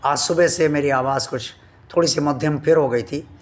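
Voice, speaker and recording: A man hosting a devotional channel, speaking to his viewers slowly and earnestly, his voice still weak from a sore throat. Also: fairly high pitch. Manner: slow, earnest and devout, addressing viewers formally. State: recovering from a sore throat, voice not at full strength.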